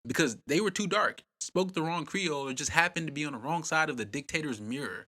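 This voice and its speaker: Bright, punchy male documentary narrator. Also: on the high side, a little fast. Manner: punchy, dramatic, rhetorical questions. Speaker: host and narrator.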